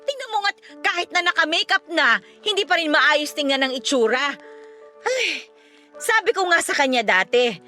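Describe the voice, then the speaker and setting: A woman playing a sharp-tongued, bossy, gossipy aunt in a Filipino radio drama, exclaiming bluntly with a Filipino accent. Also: very high-pitched, full character voice.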